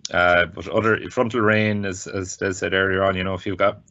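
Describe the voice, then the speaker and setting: A man with an Irish accent and a low-pitched voice explains things in casual conversation. He speaks haltingly, feeling his way through phrases. The sound is dull and lo-fi. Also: flat delivery, creaky, pushed and bright. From Ireland. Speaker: guest contributor, volunteer weather observer.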